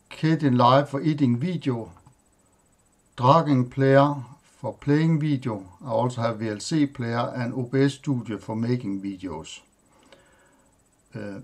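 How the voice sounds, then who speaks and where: Non-native English man, Danish first language, unhurried screen-tutorial host, plain and halting, mid pitch. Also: a little mellow. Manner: halting, plain, listing items with pauses and fillers. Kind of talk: screen-recorded software walkthrough.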